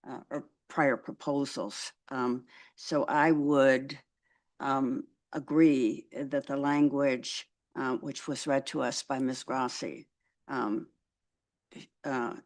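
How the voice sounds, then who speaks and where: A man on a public board giving his view politely and deliberately, with many "uh"s. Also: mid-range voice, flat delivery, no room sound. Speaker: board member. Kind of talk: formal public meeting remarks.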